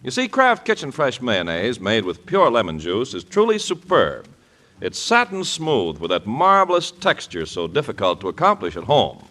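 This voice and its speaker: Bright, animated 1940s radio announcer man. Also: normal speed. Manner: polished, emphatic sales pitch. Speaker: commercial announcer. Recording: vintage 1940s radio broadcast.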